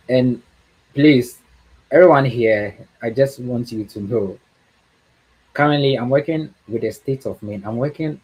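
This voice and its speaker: A man's voice: mid-range voice, darkish tone, husky, low-quality recording. A man from Ghana with a Ghanaian accent, speaking as a guest on a livestream talk, earnest and encouraging, often repeating words for emphasis.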